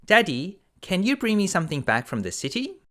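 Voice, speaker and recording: A man's voice: high voice, very animated, a little fast, fairly bright tone, voice pushed up. A man voicing a spoiled, demanding young woman, a merchant's daughter in a children's fairy tale, in a wheedling manner.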